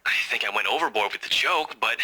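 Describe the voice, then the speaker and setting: A brisk, guarded man in a visual novel. His voice is very sharp and tense with a rough husky grain, and he questions people in a cool, matter-of-fact way. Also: medium pitch, lively intonation, heavily acted, rough recording. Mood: probing. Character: Ash, brisk, probing male friend, cool and guarded.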